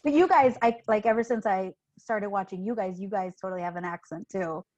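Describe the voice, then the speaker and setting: A woman's voice: mid-range voice, a little fast, darkish tone, muffled recording. A woman from Chicago who teaches middle school, chatting casually as a podcast guest and filling her talk with "like" and "you know". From Chicago, USA.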